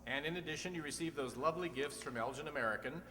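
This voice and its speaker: Man playing bright-voiced radio quiz host in echoey hall. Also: a little high, fast, slight background noise. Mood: measured. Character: wisecracking male radio quiz show host, Groucho. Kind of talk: old-time radio quiz show acting. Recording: echoey hall, long reverb.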